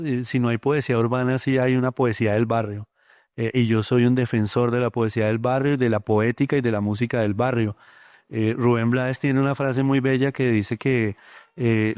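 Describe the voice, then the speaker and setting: A middle-aged poet from Colombia with a Bogotá accent, speaking thoughtfully in an interview, often pausing with fillers. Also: male voice, medium pitch, a little mellow, no echo, clean studio sound. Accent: Bogotá Colombian Spanish. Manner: thoughtful, many hesitant fillers. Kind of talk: reflective interview talk.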